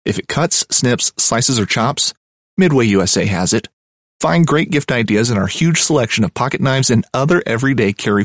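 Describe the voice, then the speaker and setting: A man's voice: medium pitch, a bit bright, no echo, clean studio sound. Punchy male ad announcer. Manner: punchy, list-like delivery. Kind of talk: scripted ad read.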